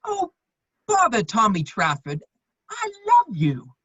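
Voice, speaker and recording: A woman playing a charming, lovestruck gentleman in his thirties in a comedy of manners, witty and earnestly pleading, on a muffled recording. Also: a little high, wildly swooping pitch, takes it slowly, husky, no echo, exaggerated voice. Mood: flustered ardour. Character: foppish, lovestruck gentleman just over thirty, charming wit. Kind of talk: stage comedy of manners, dramatic reading.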